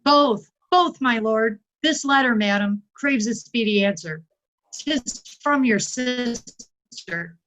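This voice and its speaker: A woman's voice: on the high side, lively intonation, takes it slowly, fairly bright tone. A woman playing an anxious, deferential messenger in a Shakespearean play reading, urgent and respectful, on a muffled recording. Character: anxious, deferential messenger bearing grim news. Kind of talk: Shakespearean reader's theatre.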